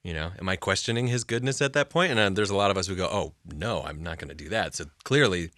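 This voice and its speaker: A man co-hosting a casual faith conversation, animated, laughing often and saying yeah a lot. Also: low voice, fast talker, dry recording, clean studio sound. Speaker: co-host of church midweek chat.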